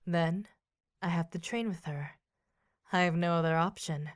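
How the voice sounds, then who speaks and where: Scheming female villain acted by a woman, cool and combative, lower register, dry audio drama. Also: moderate pace, no room sound, close to an everyday voice. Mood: calculating.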